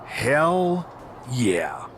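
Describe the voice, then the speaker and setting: A man's voice: mid-range voice, wildly swooping pitch, drawn-out words, fairly bright tone, slight background noise, heavily acted. An actor playing a cautious, worried male general manager of a wrestling show in a video game cutscene. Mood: intrigued.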